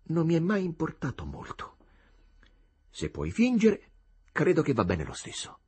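A man's voice: fairly high pitch, huge pitch swings, unhurried, darkish tone, husky, pitched above the performer's own voice, heavily acted. A man voicing a reserved, distracted young working man in an audiobook, plain-spoken and a little melancholy. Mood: thoughtful. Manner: absent-minded, plain-spoken.

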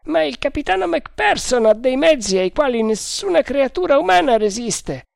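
A reader doing a nervy male soldier in an audiobook, swapping hushed talk about a prisoner with a comrade. The voice sits high and a little pushed, with very dry sound. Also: very animated, moderate pace, creaky, no room sound, heavily acted. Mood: conspiratorial.

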